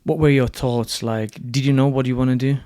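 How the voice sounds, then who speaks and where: A man's voice: mid-range voice, fairly even intonation, fairly quick, warm tone, clean tone, no echo. A man hosting a podcast, asking questions and backing up his guest with short affirmations. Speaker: podcast host. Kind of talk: casual interview.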